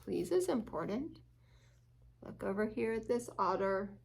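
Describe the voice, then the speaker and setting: A woman's voice: medium pitch, expressive, unhurried, creaky, a little hiss or hum. A woman reading a picture book interactively to young children, asking questions and adding little playful asides. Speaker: children's story time reader.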